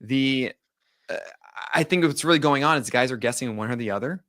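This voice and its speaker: Bright-voiced man hosting a podcast. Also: medium pitch, no echo, studio quality. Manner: jokey, quick asides, trails off and restarts. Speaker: podcast host. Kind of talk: casual baseball analysis chat.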